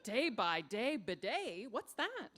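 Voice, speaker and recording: A woman's voice: a little high, sing-song, slow, fairly bright tone, soft background music, full character voice. A woman playing a bossy, folksy, exasperated wife in a comic radio sketch. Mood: curious, needy. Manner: folksy, exclamatory, dramatic. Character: exasperated, bossy wife stuck in bathroom, earthy.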